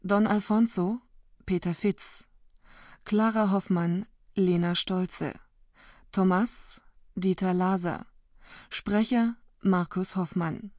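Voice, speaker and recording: Slow-spoken woman announcer. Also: medium pitch, no room sound, studio quality. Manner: formal, even. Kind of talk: reading the credits.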